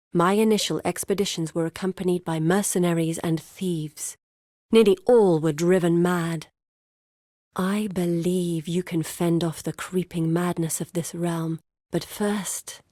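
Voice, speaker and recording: An actress voicing an earnest, guarded woman explorer in a video game, speaking in a measured, grave and deliberate way. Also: lowish voice, a little mellow, no room sound, studio quality. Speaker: video game character voice actor. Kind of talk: scripted game character dialogue.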